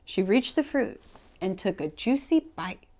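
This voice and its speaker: A woman reading a rhyming children's storybook aloud as a read-aloud host, with expressive, deliberate phrasing. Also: mid-range voice.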